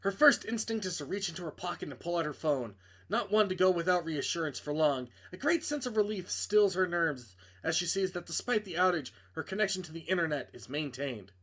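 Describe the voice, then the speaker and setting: Higher-pitched man reading aloud. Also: animated, a little fast, a bit bright. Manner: corrects own misreadings, joking asides. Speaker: streamer reading a visual novel aloud. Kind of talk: dramatic read-aloud with casual asides.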